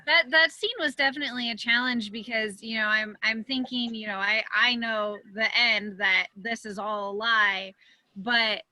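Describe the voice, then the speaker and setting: A woman's voice: on the high side, bright, forceful tone, no echo, poor audio. A young woman, an actress chatting casually as a panelist in an online seminar. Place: indoors, online video seminar.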